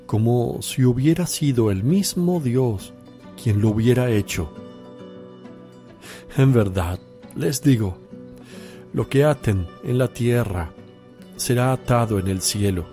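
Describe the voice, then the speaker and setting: Calm solemn religious teacher, slow deliberate phrasing, warm dark timbre, radio drama. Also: male voice, medium pitch, soft background music. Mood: solemn teaching.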